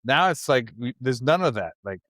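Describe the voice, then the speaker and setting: A man's voice: medium pitch, sing-song, normal speed, a bit bright, no echo. A man in his fifties chatting on a podcast, laid-back and slangy, often breaking into laughter. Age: 50s. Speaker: podcast co-host. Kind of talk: casual chat.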